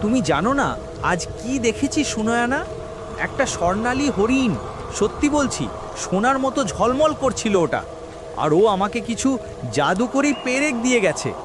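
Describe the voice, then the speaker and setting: A man voicing a simple, honest, excitable blacksmith in a children's cartoon, with expressive delivery. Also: extremely high pitch, moderate pace, background noise. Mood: excited. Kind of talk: dubbed children's cartoon dialogue. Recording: music bed behind the voice.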